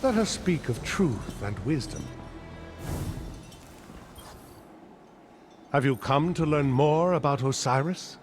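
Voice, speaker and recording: An actor playing a devout, self-important cult scholar in a video game, speaking with pompous reverence. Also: male voice, mid-range voice, lively intonation, moderate pace, faint music behind, lightly acted. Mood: reverent. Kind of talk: video game character acting.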